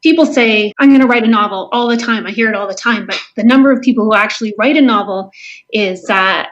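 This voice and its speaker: A Canadian woman speaks as a guest author in an interview. Her voice sits at an ordinary pitch for a woman. She answers conversationally, with an earnest enthusiasm for her craft. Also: fast talker, fairly bright tone, muffled recording. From Southern Ontario, Canada.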